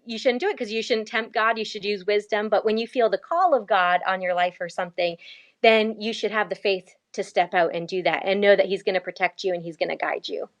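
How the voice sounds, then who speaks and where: A woman's voice: mid-range voice, a little fast. Earnest woman telling her story. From Canada. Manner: earnest, animated storytelling with frequent laughs. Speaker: guest, missionary. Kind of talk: conversational faith interview.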